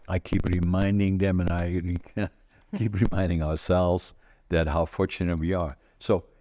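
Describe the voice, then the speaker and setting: A man in his eighties with a Dutch accent, telling stories from his life in a halting, rambling way, full of fillers and restarts. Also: sits low, darkish tone, dry recording, studio quality. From Northern Netherlands. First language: Dutch regional dialect. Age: 80s. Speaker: podcast host. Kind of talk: casual conversational storytelling.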